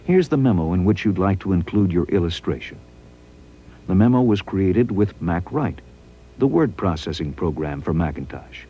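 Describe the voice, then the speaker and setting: A man reading scripted promotional training narration in a measured, instructional way. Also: low-pitched, lively intonation, dark tone, slightly rough, low-quality recording.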